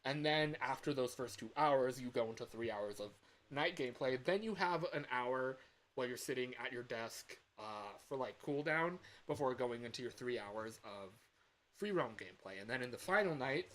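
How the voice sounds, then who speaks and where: A man talks casually on a livestream as an amateur game developer. His voice sits at an average pitch for a man. He rambles in a loose, unscripted way, often repeating a phrase before moving on. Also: normal speed, fairly bright tone.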